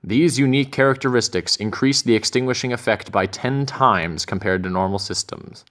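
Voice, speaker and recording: A man reading scripted narration for a corporate training film in a measured, methodical way. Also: medium pitch, a bit bright.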